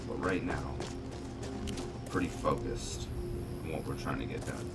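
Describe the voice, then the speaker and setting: A man giving casual commentary on a roleplay game, talking in a measured, halting way. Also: rumbling low. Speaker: gaming video host. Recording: distant mic under loud music. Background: loud game music.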